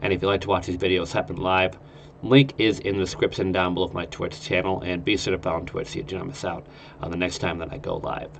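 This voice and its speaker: A man's voice: low voice, level tone, fast talker, gravelly, a little hiss or hum. A man with a nasal, stuffed-up voice from a cold, rambling through casual gameplay commentary. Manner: rambling, lots of uh fillers. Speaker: game streamer.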